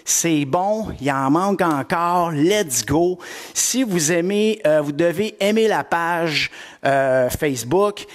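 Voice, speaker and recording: A man's voice: fairly high pitch, slow. A middle-aged man with a Québécois accent, coaching a live-streamed workout class and greeting viewers by name with lots of energy. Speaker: fitness coach.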